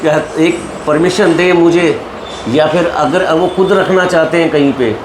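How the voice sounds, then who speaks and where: A man speaking as an association leader in an interview, earnestly appealing to members and repeating points for emphasis. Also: fairly high pitch. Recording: echoey room with background noise.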